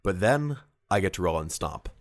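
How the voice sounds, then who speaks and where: A man's voice: low voice, lively intonation, moderate pace, no room sound, studio quality. A man in casual conversation telling stories as a podcast host, with a profane, wry, self-deprecating manner. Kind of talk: casual chat recounting tabletop wargame battles.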